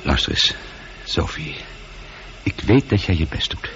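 A man's voice: extremely low pitch, expressive, measured pace, a bit bright, heavily acted. An actor playing a bored, irritable space colonel in his forties in a radio drama, with a sardonic, grumbling, teasing manner. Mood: irritated, taunting. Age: 40s. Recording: dull, noisy old radio recording.

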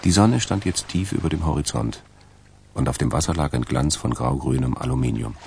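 A man narrating a crime radio play in a measured, wry, literary way. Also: a bass voice, lively intonation, vocal fry, darkish tone, a little hiss or hum, exaggerated voice. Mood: atmospheric. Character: dry male narrator of a crime story.

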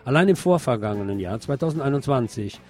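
A man's voice: mid-range voice, lively intonation, fast, darkish tone. A man presenting scripted radio news commentary, with an opinionated manner and wry asides. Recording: music bed behind the voice. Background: music.

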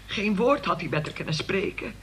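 A woman's voice: fairly low pitch, lively intonation, moderate pace, fairly bright tone, slightly rough, exaggerated voice. An actress playing a middle-aged, forgiving mother who tells long, solemn, pious stories to her son in a radio play. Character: forgiving, long-suffering mother recounting family disaster to son.

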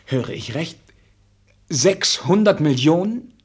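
Husky, naive royal prince. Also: male voice, fairly high pitch, expressive, heavily acted. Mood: dismayed. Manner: halting, polite, aristocratic speech. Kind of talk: German radio play acting.

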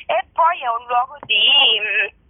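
A woman speaks over a tinny phone line. She pauses often and fills the gaps with hesitant sounds. The line has a dry, close sound with no room echo. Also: a little high, expressive. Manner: hesitant, many filler sounds. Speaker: guest presenting a theatre festival. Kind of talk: phone-in radio interview. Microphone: telephone.

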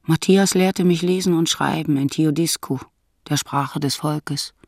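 A reflective narrator of a medieval life. The voice is husky with plenty of creak, telling a first-person story in radio drama style. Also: mid-range voice, a little slow, darkish tone, no room sound.

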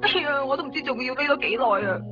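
A woman's voice: sits high, lively intonation, very fast. An actress playing a fed-up, nagging young girlfriend in a Cantonese radio drama from Hong Kong, with a whining, complaining delivery. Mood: weary, complaining. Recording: muffled 1990s radio drama over music.

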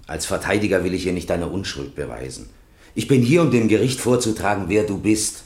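A man's voice: sits low, brisk, darkish tone. An actor playing an earnest, reproachful male lay defender in a German radio crime drama. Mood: earnest, disappointed. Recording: roomy, echoing courtroom sound.

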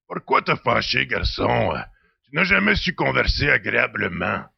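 A man playing a cranky, theatrical ship's computer with an old pirate's raspy, creaky voice in an audio drama. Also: medium pitch, sing-song, moderate pace, no echo, muffled recording, heavily acted. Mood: huffy, teasing. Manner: grumbling, grandiloquent, teasing.

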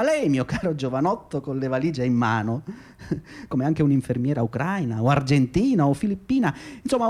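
An actor playing a condescending, self-justifying labour agency manager in a radio drama, with patronising, hesitant, repetitive speech. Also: male voice, on the high side, a little mellow. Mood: smug, lecturing. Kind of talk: radio drama monologue and dialogue.

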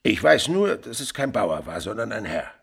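An actor playing a frightened, muddled peasant suspect in a period radio play, halting, repetitive and servile. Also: male voice, lowish voice, big pitch swings, vocal fry, fairly bright tone, husky, soft background music, exaggerated voice. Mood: dazed, halting.

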